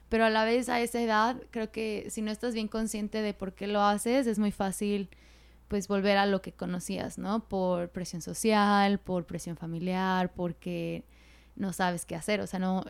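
A young woman from Morelos, Mexico, hosting a casual podcast interview, chatty and quick to laugh, with lots of fillers. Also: mid-range voice, smooth. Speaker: podcast host.

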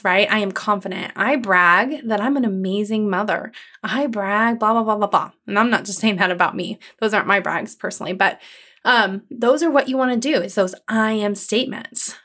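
A woman hosting her own podcast as a coach, talking to listeners in an upbeat, encouraging, conversational way with a calming voice. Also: medium pitch. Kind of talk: solo podcast episode.

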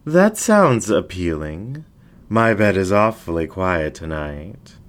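A man's voice: sits low, big pitch swings, exaggerated voice. A man voicing a flamboyant, vain and seductive male fox ringmaster in a cooing, theatrical way, as in an audio story. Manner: cooing, drawn-out, theatrical delivery.

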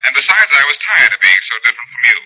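An actor playing a cocky, wisecracking detective, breezy and sarcastic, in 1940s radio style. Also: male voice, medium pitch, very animated, rapid-fire, bright, forceful tone, breathy edge, full character voice, low-quality recording. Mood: teasing, cocky.

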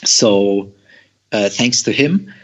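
A young man from Germany, a guest telling a long personal story with halting, repeated words and frequent laughs. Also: lowish voice, lo-fi sound. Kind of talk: storytelling in an interview.

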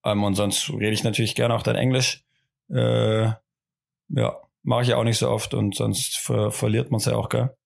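Male podcast co-host, gravelly, chatty, teasing, halting delivery. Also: fairly low pitch, little pitch movement. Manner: rambling, self-interrupting, joking. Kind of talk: casual remote podcast chat.

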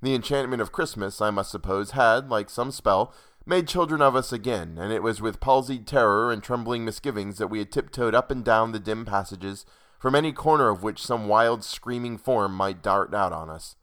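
Old-fashioned ghost-story narrator, man acting, low voice, English accent, literary and deliberate delivery. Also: a bit bright, natural voice. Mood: hushed, ominous. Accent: put-on English. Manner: formal, measured, theatrical. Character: old-fashioned British storyteller recounting an eerie tale.

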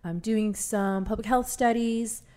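A woman hosting a conversational interview show, telling stories in a chatty, animated way. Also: on the high side, a little slow. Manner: chatty, animated storytelling with laughs. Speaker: radio show host.

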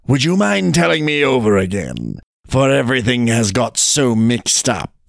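A man's voice: mid-range voice, very animated, normal speed, gravelly, dry recording, clean studio sound. A man voicing a sly jackal in a storybook tale who plays muddled and dim, hesitant and plaintive. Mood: puzzled. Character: sly trickster jackal feigning muddled, dithering stupidity. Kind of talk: storybook character reading.

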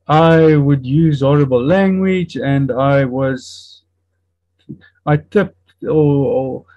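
A man in his fifties whose first language is Afrikaans, speaking with an Afrikaans accent and earnestly recounting a personal testimony in a halting way, often searching for words and restarting. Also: fairly high pitch, a little mellow, no echo, dull audio. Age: 50s. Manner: earnest and reflective. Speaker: interview guest.

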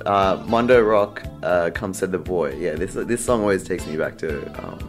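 Low-voiced Australian man, rambling casually. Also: over music. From Australia, Sydney. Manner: rambling, halting, peppered with "like". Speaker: interview guest, artist. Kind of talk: casual anecdotal chat.

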